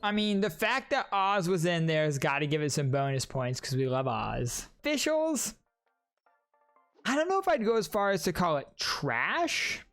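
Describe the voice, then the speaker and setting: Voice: medium pitch, sing-song, moderate pace, a bit bright, soft background music. A streamer giving casual, joking reaction commentary and ranking game trailers while talking to chat. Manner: chatty, joking, addresses chat directly.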